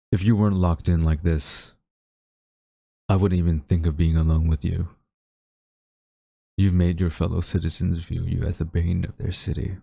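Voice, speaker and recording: A male actor plays a haughty government agent with a low, gravelly voice. He addresses his captive former friend with smug, theatrical deliberation and an undertone of regret, in a very dry, close audio drama recording. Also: dark tone, dry recording, full character voice. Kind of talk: dramatic audio roleplay monologue.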